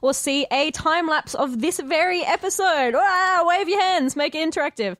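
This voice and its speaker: A woman's voice: high voice, moderate pace, a bit bright, no echo, clean studio sound. A woman co-hosting a podcast in casual, playful chat. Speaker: podcast host.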